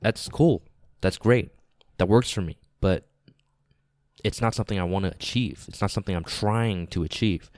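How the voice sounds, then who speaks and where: A man with a deep, resonant voice speaks earnestly and informally, as a podcast host alone at the microphone. He sounds congested and a little nasal from a lingering cold, and he restates phrases for emphasis. The sound is close and dry. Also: moderate pace, darkish tone, no room sound. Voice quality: slightly raspy, nasal. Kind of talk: casual podcast monologue.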